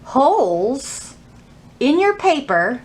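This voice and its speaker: Woman, children's storytime presenter, higher voice, very animated intonation, simple instructive phrasing. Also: extremely slow. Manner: simple, instructive phrasing with questions to young listeners. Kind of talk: children's storytime, teaching and reading aloud to young kids.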